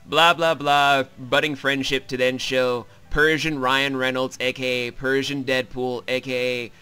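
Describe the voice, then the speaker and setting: A man's voice: medium pitch, flat delivery, a little slow, bright, forceful tone, clean tone, faint music behind. A man hosting a let's play, giving a casual, wry, rambling and sweary recap of a visual novel.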